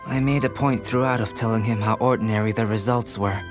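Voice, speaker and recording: A calm young alchemist muses in a soft, measured tone, as a man acts him out. His voice sits slightly low and stays composed. Game music plays clearly beneath him. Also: natural voice. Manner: soft-spoken, measured, philosophical.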